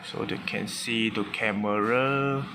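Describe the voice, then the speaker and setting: A man with a Malaysian accent giving an informal product demonstration in short, clipped, halting phrases of simple English. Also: on the low side, steady tone. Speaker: car audio installer demonstrating a product. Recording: noisy room with clear background noise.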